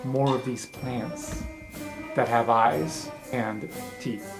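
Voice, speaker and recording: A game master narrating an improvised tabletop roleplay game in a mid-pitched voice. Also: very slow. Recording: music behind the voice. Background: music.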